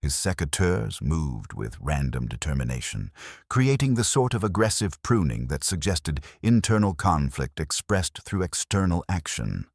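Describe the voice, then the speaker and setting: A man reading scripted narration for a detective mystery in a formal, measured storytelling manner. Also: rumbling low, big pitch swings, gravelly, breathy edge, no echo, clean studio sound.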